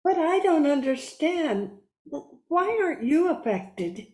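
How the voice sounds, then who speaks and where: A woman's voice: high-pitched, big pitch swings, slow, a little mellow, full character voice. A woman playing an innocent young niece in an old-time radio thriller, hesitant and questioning. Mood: puzzled.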